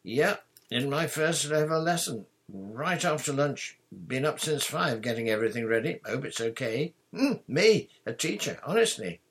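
Man voicing an eager, husky novice teacher. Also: medium pitch, expressive, moderate pace, hardly any pauses, fairly bright tone, pitched above the performer's own voice. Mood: eager, proud. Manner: eager, clipped phrases, humble. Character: big, friendly, eager novice male teacher. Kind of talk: audiobook character voice.

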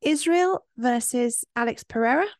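A woman hosting a podcast chat, warm and encouraging as she steers the conversation. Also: fairly high pitch, lively intonation, normal speed, no echo, studio quality. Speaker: podcast host. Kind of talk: casual chat.

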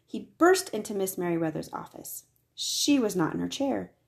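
A woman reading a children's picture book aloud as scripted narration, with expressive delivery. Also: medium pitch, moderate pace, gravelly. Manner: expressive, acts out the dialogue. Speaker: storybook reader.

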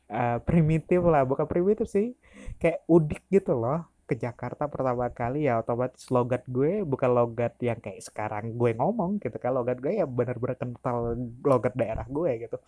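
A man's voice: medium pitch, warm tone, no echo. A young man in his twenties telling his own story casually in Jakarta slang, full of fillers. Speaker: university staff.

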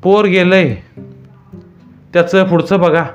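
A man voicing a gentle village doctor who speaks politely and with restraint. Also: high voice, steady tone, takes it slowly, highs cut off, soft background music, voice pushed up. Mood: sad restrained.

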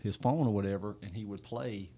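Podcast host dude, lowish voice, rambly storytelling. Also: male voice, brisk, mellow, breathy edge. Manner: chatty storyteller, many fillers. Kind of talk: casual podcast conversation.

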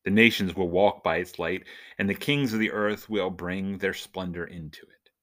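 A man with a fairly low voice that comes across bright and punchy. He hosts a podcast and speaks in a nerdy, jokey way. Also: moderate pace. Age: adult, husband and father. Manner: jokey, self-described nerd. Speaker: podcast host and church minister. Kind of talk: casual humorous podcast monologue.

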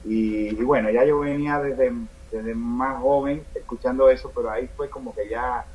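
A man's voice: medium pitch, steady tone, normal speed, muffled recording. A Dominican man with a Dominican Spanish accent, a band singer talking casually in an interview, rambling with many restarts and fillers. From Dominican Republic.

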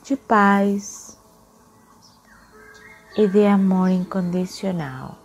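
A woman leading a guided meditation in a soothing, unhurried manner, with long pauses between phrases. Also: medium pitch. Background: music.

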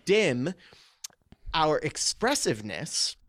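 A man, a public speaking coach, explaining ideas in an energetic, casual podcast conversation full of filler words. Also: fairly high pitch, slow, dry recording, clean studio sound.

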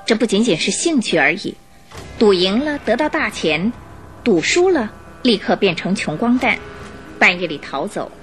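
A woman gives scripted narration for a radio play. Her mid-pitched voice is animated and expressive, telling the story over faint music. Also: moderate pace.

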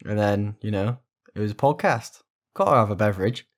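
A man's voice: on the low side, fairly quick. A man co-hosting a casual podcast, telling laid-back stories and swearing freely. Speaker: podcast co-host.

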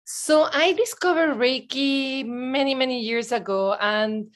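A woman in her forties, born in Belgium, a podcast guest telling lively stories and acting out her past self in excited bursts. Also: sits high, measured pace. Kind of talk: casual interview chat.

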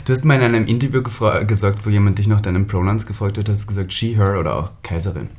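A man with a lowish voice co-hosting a podcast at a fast clip. He sounds enthusiastic and leans on filler sounds between his thoughts. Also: darkish tone. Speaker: podcast co-host. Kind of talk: casual podcast chat.

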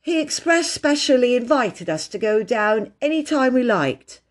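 A woman's voice: a little high, sing-song, measured pace, pitched above the performer's own voice. A woman voicing a proud, offhand schoolboy who is impatient with his sister, in a children's storybook.

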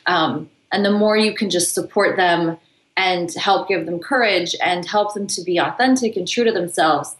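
A woman's voice: on the low side, fairly bright tone. A middle-aged woman, a mother of a teenager, speaking earnestly and emphatically as a podcast panel guest. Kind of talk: casual podcast panel talk. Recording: echoey room.

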